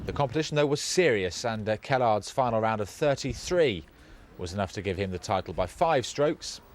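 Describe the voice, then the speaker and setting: A man's voice: on the low side, expressive, normal speed. A British man reading scripted sports news, with slight hesitations.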